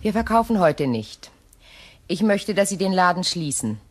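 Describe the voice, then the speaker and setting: An actress in a radio play playing an imperious middle-aged widow, genteel, measured and coolly sardonic. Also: female voice, fairly low pitch, very animated. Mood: composed, calculating.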